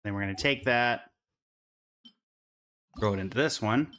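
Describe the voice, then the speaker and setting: A man's voice: fairly low pitch, unhurried, fairly bright tone. A man livestreaming a game, chatting casually and in a friendly way with viewers while commenting on his play.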